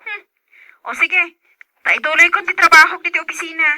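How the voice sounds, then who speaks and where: Woman acting a very bright, nagging wife. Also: very high voice, normal speed, dry recording, heavily acted. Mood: insistent. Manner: insistent, complaining. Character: nagging, complaining wife checking on fence work. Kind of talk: Ilocano radio drama acting.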